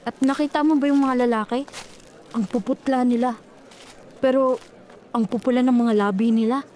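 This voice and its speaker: A woman's voice: high-pitched, fairly even intonation, normal speed, warm tone, a little hiss or hum, lightly acted. A woman voicing a frightened young woman in a horror audio story, talking anxiously and urgently. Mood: fearful.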